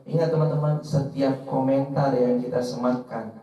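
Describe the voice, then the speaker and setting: Mellow-voiced male preacher in echoey room. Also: medium pitch, level tone, moderate pace. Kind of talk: sermon to an audience, quoting and translating Arabic scripture. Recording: roomy echo, distant mic, slightly dull.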